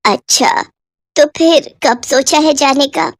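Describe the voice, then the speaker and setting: A woman voicing an aging widowed mother in a story, affectionate and plaintive, with a motherly, gently chiding manner. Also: high-pitched, takes it slowly, vocal fry, dry recording, clean studio sound, heavily acted. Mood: fond, questioning.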